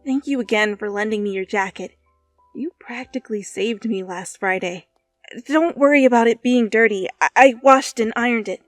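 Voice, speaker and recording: An anxious, sincere schoolgirl acted by a woman narrator speaks in a raised pitch. She is flustered and stammers hesitantly. It is storybook dialogue, recorded dry over faint music. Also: moderate pace, no room sound, close to an everyday voice. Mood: flustered, grateful.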